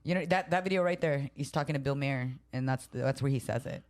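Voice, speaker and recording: A woman's voice: a bass voice, fast. Woman from san jose on a podcast, blunt, swears a lot, tells it straight. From raised in San Jose, California. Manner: blunt and swearing, tells stories with lively quoted voices. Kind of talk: casual chat.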